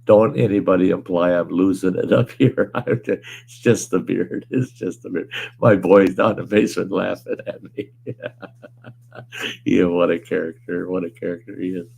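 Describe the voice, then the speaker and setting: A man hosting a casual livestream from home, hoarse with a weakened voice, rambling in a laid-back way and chuckling often. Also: deep voice, fairly even intonation, normal speed, a little mellow. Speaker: livestream host. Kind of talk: casual livestream chat reading viewer comments.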